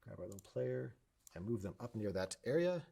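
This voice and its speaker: A man instructing in a casual screen-share tutorial, thinking aloud and explaining informally, often restating points to make them clear. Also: fairly low pitch, very animated, brisk, dark tone. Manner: informal, explanatory, repeats himself to clarify.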